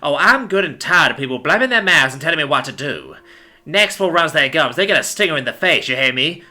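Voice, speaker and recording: A man's voice: fairly high pitch, expressive, brisk, sharp tone, voice pushed up. A man voicing a callous, corrupt pig policeman in a story, with crude, folksy, scolding speech. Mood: fed up. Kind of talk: dramatic storybook character voice.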